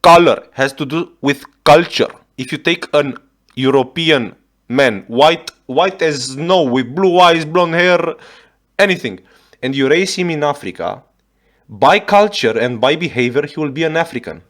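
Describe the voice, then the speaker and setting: A man's voice: fairly high pitch, expressive, fairly bright tone. Exasperated Romanian-accented man ranting. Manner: exasperated, swears often, halting restarts. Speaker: reaction video host. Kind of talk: ranting reaction commentary.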